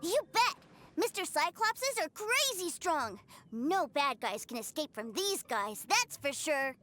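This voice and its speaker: A woman's voice: high-pitched, very animated, measured pace, bright, forceful tone, soft background music, exaggerated voice. A woman voicing an enthusiastic, innocent little boy who loves toys and likes to boast, in a video game. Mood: proud. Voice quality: childlike. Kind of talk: video game character acting.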